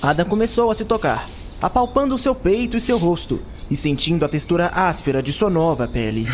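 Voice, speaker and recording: A man's voice: high voice, expressive, a little fast, a little hiss or hum. A man narrating a scripted fantasy audio drama as a dramatic storyteller. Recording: loud music behind the narration.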